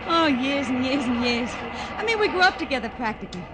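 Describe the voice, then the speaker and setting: An actress with an American accent playing a brash, breezy, scatterbrained roller derby skater in a 1950s radio drama, chatty and cheeky. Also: female voice, high-pitched, big pitch swings, fairly quick, very noisy. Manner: chatty, literal-minded, cheeky. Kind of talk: 1950s radio comic character acting. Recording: old radio recording, crowd behind.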